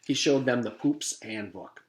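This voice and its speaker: An echoey room surrounds a man reading a children's book aloud. His voice sits at an average pitch, and he tells the story as a teacher would to pupils. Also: unhurried.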